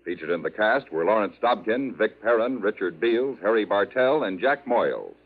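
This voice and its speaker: Lively, husky American radio announcer. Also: male voice, fairly low pitch, moderate pace. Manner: formal, declarative. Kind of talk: 1950s radio credits announcement. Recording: tinny, narrow-band 1950s radio recording.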